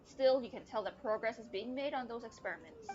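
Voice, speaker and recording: A woman's voice: fairly high pitch, fairly quick. A young woman live-streaming a game with casual, playful commentary and wry asides, sometimes reading the game's text aloud. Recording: dull lo-fi mic, game music behind.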